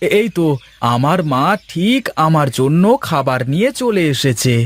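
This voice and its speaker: A man voicing a kindly, hardworking village farmer and doting father in a moral-story cartoon, speaking gently and affectionately. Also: on the high side, big pitch swings, moderate pace.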